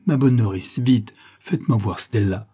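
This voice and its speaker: A man's voice: on the low side, moderate pace, warm tone, husky. A man voicing an ardent, boyish young man in love, exclamatory and insistent, in a storybook reading. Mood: joyful.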